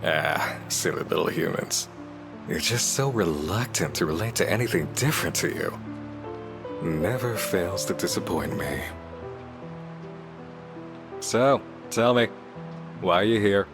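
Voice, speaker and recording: A man's voice: low voice, expressive, measured pace, pauses often, music in the background. A man playing a sly, playful demon detective in a visual novel, teasing and theatrical as he condescends to humans. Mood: condescending, bored. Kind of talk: scripted visual novel voice acting.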